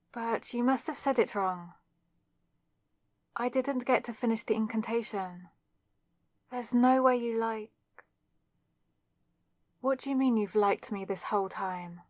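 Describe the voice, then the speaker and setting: Slow-talking, flustered young witch, acted by a woman. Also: on the high side, no room sound, studio quality. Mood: surprised, incredulous. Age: young adult. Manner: awkward, wry, self-deprecating. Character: flustered young student witch confessing a crush. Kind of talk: ASMR roleplay acting addressed to the listener. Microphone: close microphone in a dry space.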